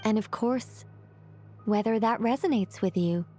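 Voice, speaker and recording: Woman voicing a wise calm guide, somewhat high voice, reflective, gently probing, game dialogue. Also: measured pace, faint music behind, lightly acted. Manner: thoughtful, reflective, gently guiding. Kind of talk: game cutscene voice acting.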